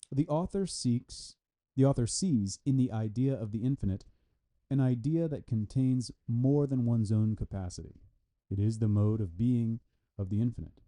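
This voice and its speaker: A man reading a scholarly text aloud with deliberate, halting phrasing. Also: mid-range voice, dark tone. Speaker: reader of a philosophy article. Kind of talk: read-aloud scholarly text with brief explanatory asides.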